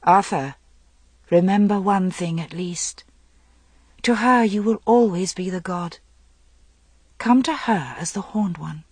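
A commanding priestess in a fantasy audiobook, acted by a woman, solemn and intense. She has a husky voice and speaks slowly, with fierce conviction. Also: mid-range voice, darkish tone, no echo. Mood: gentle, solemn. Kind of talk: dramatic audiobook storytelling.

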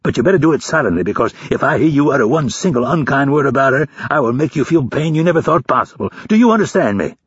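A man's voice: mid-range voice, animated, brisk, gravelly, few pauses, a bit bright, husky, no room sound, lo-fi sound, voice pushed up, exaggerated voice. A man playing a sour, foul-mouthed instructor in an audiobook, snapping and barking his taunts. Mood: angry, barking. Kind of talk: audiobook character voice.

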